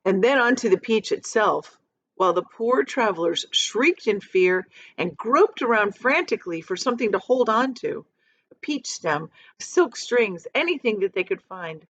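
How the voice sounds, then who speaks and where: A woman reading a children's book aloud like a teacher, expressive and dramatic, with emphatic pauses. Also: mid-range voice, muffled recording. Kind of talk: expressive storybook read-aloud.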